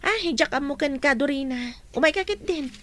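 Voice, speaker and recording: A teenage schoolgirl in a radio drama, speaking with an Ilocano Filipino accent. Her voice is very high and girlish. She chats and gently chides like the level-headed friend. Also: expressive, moderate pace, slight background noise. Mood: chiding. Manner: chatty, gently scolding. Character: teenage schoolgirl, sensible, chiding best friend.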